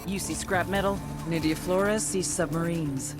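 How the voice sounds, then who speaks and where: An actress playing a tough, sardonic intelligence agent briefing operatives in a game, wry and punchy, with cynical bite. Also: female voice, lowish voice, unhurried, natural voice. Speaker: mission briefer. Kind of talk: scripted game cutscene narration. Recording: music bed under the voice.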